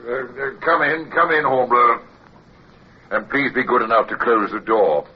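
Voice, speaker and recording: An actor playing a fussy, irritable British naval captain in a radio drama, blustering and sceptical. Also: male voice, medium pitch, moderate pace, close to an everyday voice. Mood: flustered welcome. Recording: muffled, noisy old radio recording.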